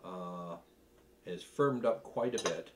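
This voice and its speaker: A middle-aged man with a fairly low voice talks casually through a food tasting. He is halting and thoughtful, often pausing between bites as he works out what to say. It sounds like a home cook hosting at his own kitchen table. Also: a little hiss or hum. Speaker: cooking video host.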